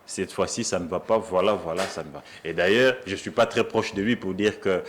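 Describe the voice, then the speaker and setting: A man's voice: low voice, brisk, slight background noise. A man with a Congolese accent, a journalist arguing emphatically as a debate guest, often repeating his phrases for weight. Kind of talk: animated political debate.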